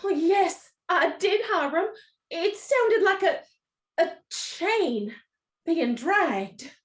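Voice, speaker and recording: A high-pitched, fussy matriarch. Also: female voice, expressive, heavily acted. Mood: frightened. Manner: bossy, brisk orders, theatrical. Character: fussy, commanding wife and mother, easily alarmed. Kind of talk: radio play acting.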